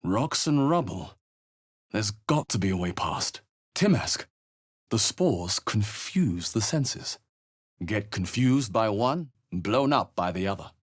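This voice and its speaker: A man playing a wary, thoughtful fantasy adventurer in a video game, musing aloud in a measured, dramatic way. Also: lowish voice, expressive. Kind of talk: video game voice acting, short exploration remarks.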